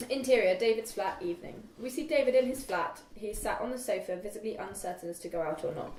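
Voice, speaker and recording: A woman's voice: mid-range voice, quick pace, a little mellow. Young woman reading stage directions, echoey room. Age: young adult. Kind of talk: scripted narration. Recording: echoey room, distant mic, faint noise.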